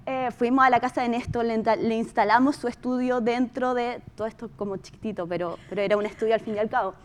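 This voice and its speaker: A woman's voice: on the high side, steady tone, quick pace. A Chilean woman speaking as a producer, telling a story in an informal, conversational presentation with emphatic repetition. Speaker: podcast producer giving a talk.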